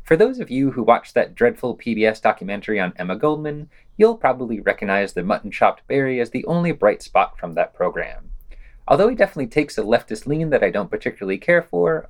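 Man, very animated intonation, mid-pitched, wry, opinionated, scripted podcast host. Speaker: podcast host reading news reviews.

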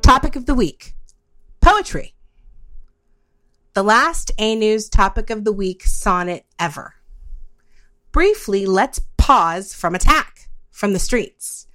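A woman's voice: mid-range voice, bright, forceful tone. A woman hosting a podcast, moving between reading a prepared piece and chatting. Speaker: podcast host. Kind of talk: reading an essay and chatting with a guest.

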